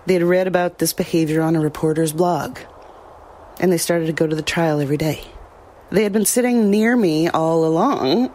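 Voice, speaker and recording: A woman's voice: lowish voice, normal speed, dark tone, lightly acted. An actress playing a troubled woman recounting a frightening ordeal in an audio drama, reflective and hesitant. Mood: subdued, haunted.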